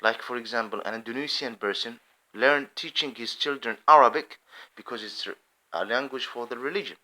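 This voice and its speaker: A man's voice: mid-range voice, normal speed, sharp tone. A man giving an impassioned, rambling unscripted monologue to camera. He often restarts his phrases. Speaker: video commentator.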